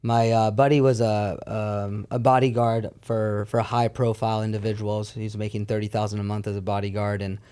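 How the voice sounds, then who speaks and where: Clear-voiced man in his thirties, candid. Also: on the low side, level tone, a little fast, hardly any pauses, mellow. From born in Paris, France, moved to America at twelve. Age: 30s. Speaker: podcast guest. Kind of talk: casual storytelling chat.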